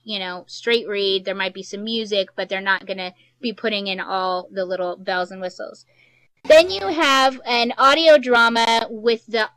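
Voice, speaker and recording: Bright, high-voiced woman explaining things informally. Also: low-quality recording. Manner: chatty, explains in plain colloquial terms. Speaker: fiction podcaster giving a workshop. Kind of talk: informal instructional talk.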